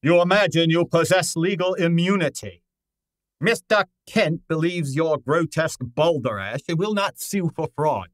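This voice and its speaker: Man acting a pompous, high-pitched radio detective. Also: normal speed, no echo, lightly acted. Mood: stern, commanding. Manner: pompous, formal, ornate vocabulary, exasperated. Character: pompous, gruff, money-minded male detective, curt and imperious. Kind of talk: old-time radio drama acting.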